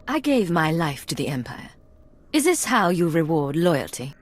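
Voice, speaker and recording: Wary female spy, game drama, deep for a woman, clipped, controlled. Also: very animated, normal speed. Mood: bitter, confrontational. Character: hardened, wary female Imperial secret agent.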